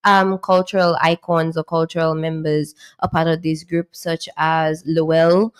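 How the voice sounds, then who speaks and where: A woman's voice: deep voice, little pitch movement, a little slow, smooth. A woman in her twenties, a pageant contestant answering earnestly in a radio studio interview. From Dominica. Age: 20s. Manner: earnest, says "as well as" often.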